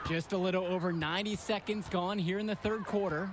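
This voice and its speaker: A man voicing a brisk basketball announcer in a video game, calling plays in clipped phrases. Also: high voice, fairly even intonation, natural voice. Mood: focused. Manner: clipped, calls each pass. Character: male TV basketball play-by-play announcer, brisk and excited. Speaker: play-by-play announcer. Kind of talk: sports play-by-play commentary. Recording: loud game court noise behind voice. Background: loud basketball court sounds, bouncing ball.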